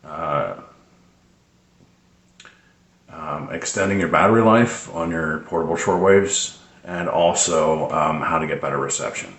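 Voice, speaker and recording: A man giving a casual, unscripted how-to explanation in a hesitant, rambling way with many pauses. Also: rumbling low, a bit bright. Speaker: presenter of a radio tips video. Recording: roomy, echoing sound.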